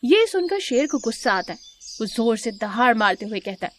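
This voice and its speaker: A woman with a high voice tells a scripted children's cartoon story as its narrator. Faint noise runs behind her narration. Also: sing-song, normal speed, fairly bright tone.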